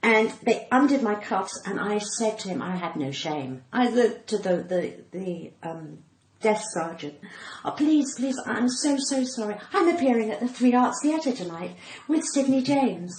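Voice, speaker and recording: A woman's voice: medium pitch, expressive, unhurried, darkish tone. Cut-glass older English woman, roomy recording. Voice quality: cut-glass, well-spoken. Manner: dramatic, acting out quoted speech. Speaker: podcast guest, comedian and actor. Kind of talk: candid anecdotal storytelling in an interview. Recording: roomy echo, faint background noise.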